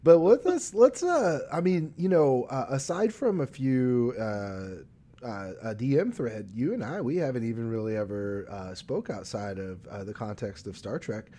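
A man's voice: mid-range voice, expressive, a little slow, dark tone. An American man hosting a casual interview podcast, talking in a rambling, hesitant way. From Arkansas, United States. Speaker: podcast host.

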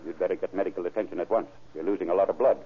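An actor playing a brisk, probing male sheriff in a businesslike old-time radio crime drama, clipped in his questioning. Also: sits low, steady tone. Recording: muffled, band-limited vintage radio recording.

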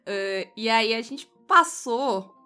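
A Brazilian woman with a high, bright voice chats as a podcast co-host. She tells stories in casual banter with a playful, mock-bossy streak, over faint music. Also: lively intonation, slow pace.

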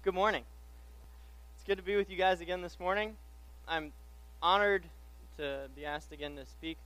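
A man's voice: high voice, steady tone, moderate pace, fairly bright tone, low-quality recording. A young man from Tanzania giving an informal, conversational sermon, casual and self-deprecating, often repeating his phrases. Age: young adult. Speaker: pastoral intern preaching as guest speaker. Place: church pulpit.

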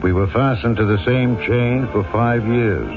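A man's voice: mid-range voice, unhurried, natural voice. A man playing a noble, resolute former convict turned mayor in an old-time radio drama, solemn and earnest as he tells and acts out his story. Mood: solemn, reflective. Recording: tinny, narrow-band old radio recording with music.

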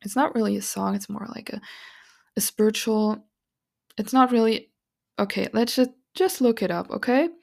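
A woman with a raspy, gravelly voice hosts a spiritual podcast at a middling pitch. She talks in an earnest, encouraging way and rambles loosely with fillers. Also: moderate pace, a little mellow. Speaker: podcast host and psychic reader. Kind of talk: casual spiritual podcast monologue.

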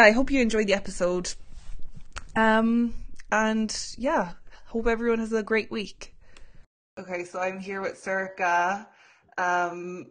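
A woman hosting a podcast, chatting in a rambling, self-mocking way over a video call. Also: medium pitch. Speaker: podcast host. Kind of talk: casual chat. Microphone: own mic over a video call.

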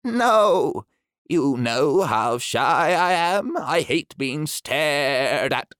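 A man voicing a shy, polite two-headed deer-like animal in a storybook reading, speaking slowly and gently. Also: a little high, expressive, clear, resonant tone, dry recording, clean studio sound, voice pushed up. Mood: timid. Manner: timid, slow and gentle.

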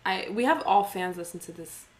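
A woman's voice: medium pitch, expressive, fairly quick. A woman in her early twenties co-hosting a podcast, chatting casually and rambling with lots of fillers and giggles. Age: 20s. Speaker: podcast co-host. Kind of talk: casual chat.

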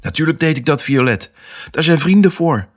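A man's voice: a little high, animated, moderate pace, voice pushed up. A man voicing an upbeat, encouraging parrot with an enthusiastic, rhythmic way of talking in a children's audio drama. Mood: warm. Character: upbeat, encouraging male rapping parrot friend.

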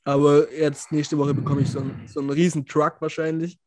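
A man's voice: on the high side, level tone, brisk, darkish tone, dry recording. A young man co-hosting a podcast, chatting casually with friends in colloquial slang. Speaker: podcast co-host. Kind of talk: casual chat among friends.

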